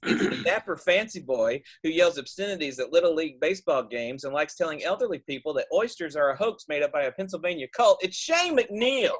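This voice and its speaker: High-pitched, very animated man announcing. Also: brisk. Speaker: host introducing the cast. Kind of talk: playful scripted introductions. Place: online video call.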